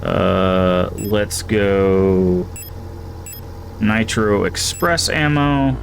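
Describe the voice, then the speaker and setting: A man's voice: fairly low pitch, slight background noise. A man streaming a horror game, thinking aloud and reading in-game items and notes as he plays. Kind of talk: casual gameplay commentary.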